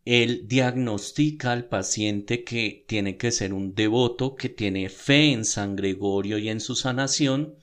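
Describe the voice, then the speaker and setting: A man with a Bogotá Colombian accent telling stories and explaining in a conversational, slightly hesitant way on a podcast. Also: mid-range voice. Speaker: podcast panelist. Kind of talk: conversational storytelling. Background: music.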